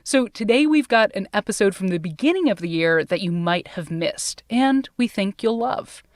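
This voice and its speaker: A woman's voice: mid-range voice, expressive, normal speed, no echo, studio quality. A woman with an American accent hosting a science podcast in a casual, playful, conversational way.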